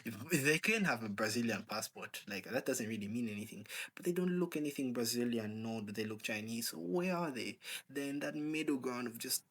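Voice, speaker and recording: A man of ordinary, middle pitch speaks in a loose, unscripted monologue. He wanders from point to point, stacking rhetorical questions and filling gaps with "like" and "um.". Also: expressive, a little fast, few pauses, fairly bright tone. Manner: rambling with many rhetorical questions and filler "like". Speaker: channel host. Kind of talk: casual opinion monologue.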